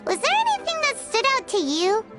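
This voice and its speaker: A squeaky, chirpy fairy companion. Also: female voice, wildly swooping pitch, brisk, heavily acted. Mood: thoughtful. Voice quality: high, bright and childlike. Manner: talks about herself in the third person. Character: tiny floating fairy companion, chirpy and curious. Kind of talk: game dialogue. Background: game music.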